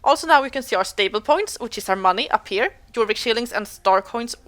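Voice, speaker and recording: A woman's voice: fairly high pitch, lively intonation, fairly quick, a bit bright. Woman narrating gameplay. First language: Swedish. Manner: chatty, explanatory, self-correcting. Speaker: gaming video host. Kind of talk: let's play walkthrough commentary.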